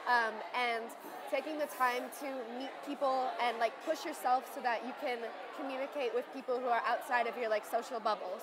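A woman's voice: fairly high pitch, noisy background. A young woman from the United States speaking thoughtfully to camera indoors, with some fillers. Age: young adult. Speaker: interviewee. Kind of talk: casual speech to camera. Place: busy indoor dining room. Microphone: earbud headset mic.

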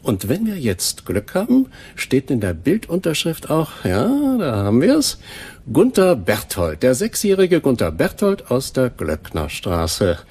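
Jovial archivist, self-satisfied, rambling, lively pitch swings, husky, radio drama. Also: male voice, measured pace, full character voice. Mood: jovial, pleased. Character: chatty, self-satisfied male newspaper archivist. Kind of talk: German radio crime drama acting.

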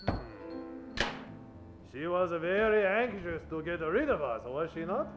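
A man's voice: high voice, sing-song, unhurried, dull audio, over music. A man playing a formal, inquisitive Chinese detective general in an old-time radio play, speaking in polite, stilted proverbs. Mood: suspicious, polite. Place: live theater stage. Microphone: shared vintage-style stand microphone.

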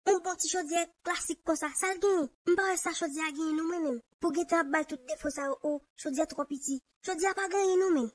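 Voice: very high-pitched, a little fast, hardly any pauses, dry recording. A performer with a Haitian accent voicing an aggrieved, insistent young male cartoon sidekick who grumbles and repeats himself. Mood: defensive, indignant. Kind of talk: Haitian Creole cartoon dialogue.

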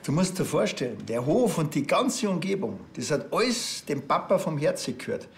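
A man's voice: a little high, sing-song, normal speed, creaky, a little hiss or hum. An actor playing a grumpy village policeman with a Bavarian accent and a dry, sardonic way of talking. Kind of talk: naturalistic comedy-crime dialogue.

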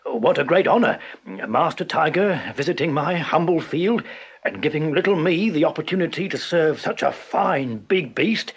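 A man voicing a clever peasant farmer who flatters with eager, over-polite courtesy, pitched higher and brighter. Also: normal speed, slightly rough. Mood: fawning.

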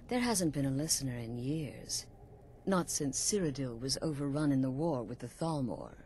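Sly middle-aged assassin boss lady from a game, deep voice, measured, bit of background noise. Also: darkish tone. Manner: measured, knowing, dramatic pauses. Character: middle-aged female assassin leader, coolly sinister, sly. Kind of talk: video game voice acting.

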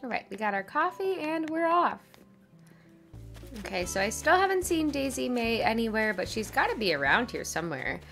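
A woman's voice: on the high side, sing-song, normal speed, a bit bright, soft background music. A woman with a stuffy, congested nose, chatting casually over her gameplay as a channel host. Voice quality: slightly nasal. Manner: chatty, upbeat, rambling.

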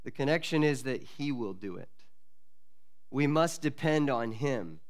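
A man in his forties, a pastor, preaching an expository sermon from a lectern mic in a church, earnest and measured with pauses and restarts. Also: mid-range voice, expressive. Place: church sanctuary at a wooden lectern. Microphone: lectern microphone.

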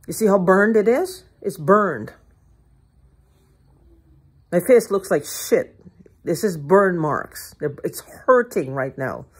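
An older woman ranting to her phone camera in a living room, heated, profane and repetitive, with a hoarse throat. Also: fairly low pitch, expressive, takes it slowly, pauses often. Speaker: vlogger. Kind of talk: agitated rambling monologue to camera. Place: living room at home, indoors. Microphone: phone held at arm's length.

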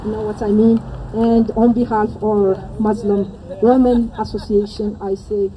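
Earnest woman from Morocco, mellow voice. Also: medium pitch, little pitch movement, rough recording. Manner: simple halting English, earnest. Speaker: community volunteer. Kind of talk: short speech at outdoor press event. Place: outdoors on a lawn.

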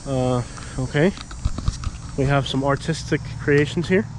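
A man in his thirties vlogging casually outdoors, chatting and thinking out loud with lots of fillers, filmed on a handheld camera. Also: medium pitch, measured pace, noisy background. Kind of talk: casual walking vlog. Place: outdoors at an empty lot, open air. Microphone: handheld action camera at arm's length.

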